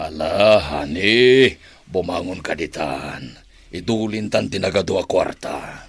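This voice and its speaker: Teasing gloating husband, low husky voice, chuckling drawl, radio drama, animated pitch. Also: male voice, takes it slowly, no room sound, full character voice.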